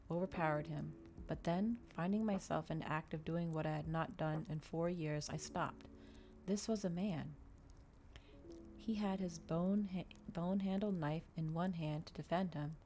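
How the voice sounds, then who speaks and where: A woman doing a soft, unhurried ASMR reading of a novel, with small stumbles and restarts. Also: fairly low pitch, slight background noise. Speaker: streamer reading a novel aloud. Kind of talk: ASMR audiobook-style reading.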